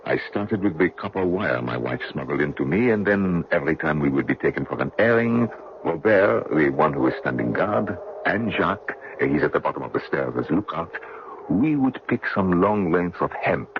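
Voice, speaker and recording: A wry, determined French general in his sixties, very low and gravelly. His delivery swings up and down with lively inflection in radio-play style, on a dull, noisy old broadcast recording. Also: moderate pace. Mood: confiding, playful. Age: 60s. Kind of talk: old-time radio drama acting.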